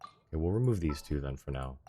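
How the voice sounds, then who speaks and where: A man with a low voice gives casual livestream commentary on a game. He talks informally and thinks aloud, with faint noise behind him. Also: animated, fairly quick, dark tone. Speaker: game streamer.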